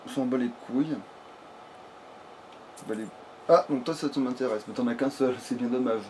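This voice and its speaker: A man's voice: medium pitch, normal speed, a little mellow. A man giving casual, improvised video game commentary in a crude, swear-heavy way. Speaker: let's player. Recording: clearly noisy background.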